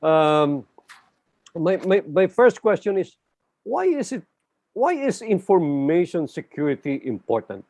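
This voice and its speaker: A man moderates a panel discussion in a hesitant, chatty way. His voice sits a little high. The sound is dry and close, with no room echo. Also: sing-song, unhurried, a little mellow. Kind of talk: hesitant conversational moderating, many fillers.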